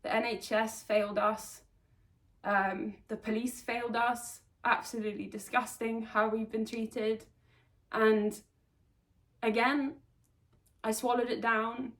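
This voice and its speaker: A woman from Sweden telling her own life story to camera, earnest and reflective, with halting restarts. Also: fairly high pitch, level tone, fairly bright tone. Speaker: vlogger. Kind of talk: personal confessional storytelling. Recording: voice slightly distant from the mic.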